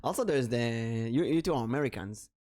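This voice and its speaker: A man from the Middle East hosts a relaxed podcast, his voice worn hoarse from hours of talking the day before. He sits at a middle pitch and sounds close and dry on a home mic. His stories wander along, stop and restart, and lean on fillers. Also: fast, no room sound. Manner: rambling, stop-start storytelling with many fillers. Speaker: podcast host. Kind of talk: casual podcast chat.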